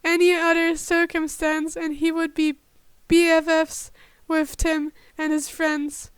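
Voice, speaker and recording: High woman's voice, slow amateur fanfic reading, stumbles a bit, very dry sound. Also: monotone, no echo. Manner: stumbling over words, self-correcting. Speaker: podfic narrator. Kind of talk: amateur fan fiction narration.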